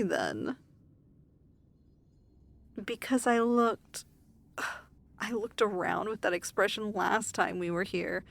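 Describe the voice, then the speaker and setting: A woman playing a haughty, weary demon lord in an ASMR fantasy roleplay, speaking in a formal, measured, imperious manner. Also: a little high, vocal fry, exaggerated voice. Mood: suspicious, stern.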